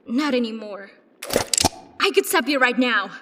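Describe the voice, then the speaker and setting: An actress playing an indignant, resolute military agent in an audio drama, speaking in a stern, accusing way. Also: female voice, a little high, animated, a little slow, noisy background. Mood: grave, resolute. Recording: long hall reverb.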